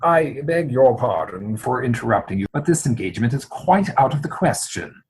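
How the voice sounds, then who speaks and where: A man playing a stubborn, indignant English gentleman guardian in a comic play reading, speaking formally and emphatically. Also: medium pitch, very animated, moderate pace, husky, heavily acted, low-quality recording. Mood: firm.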